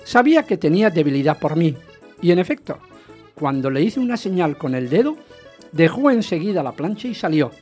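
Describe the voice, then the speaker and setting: A man's voice: fairly high pitch, big pitch swings, brisk, darkish tone, faint music behind. An actor playing a jealous, agitated husband in a radio play, insistent and dramatic as he narrates and questions. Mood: brooding narration. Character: jealous, agitated husband recounting his hunt for a rumour. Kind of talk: radio theatre narration and dialogue.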